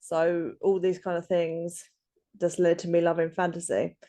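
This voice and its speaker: A woman in her thirties speaks as a guest author in a relaxed interview. Her voice sits on the low side, and she hesitates often, circling back with ums and restarts as she gathers her thoughts. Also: normal speed. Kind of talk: casual interview.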